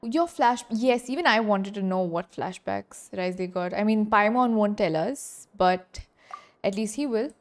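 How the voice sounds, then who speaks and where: A woman's voice: medium pitch, unhurried. A young woman giving casual live reactions as a game streamer.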